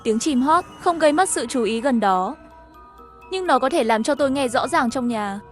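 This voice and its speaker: Woman w a high voice narrating a first person story, music playing behind. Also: expressive, moderate pace.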